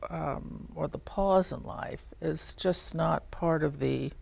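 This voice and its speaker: A woman's voice: sits low, slow, vocal fry, a little mellow, husky. A woman hosting an interview show, asking reflective, curious questions. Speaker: talk show host. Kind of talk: interviewing a guest.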